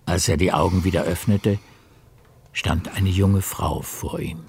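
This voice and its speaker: A man narrating a literary radio play in a measured storytelling manner. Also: low-pitched, fairly even intonation, gravelly, a little mellow, husky.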